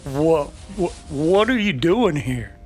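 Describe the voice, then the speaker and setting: A man's voice: on the high side, expressive, slow pace, faint music behind, pitched above the performer's own voice. A man playing a drunk, flustered gambler in a western radio drama, stammering and slurring as he fumbles his words. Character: drunk, flustered male gambler in the Old West. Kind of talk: old-time western radio drama dialogue.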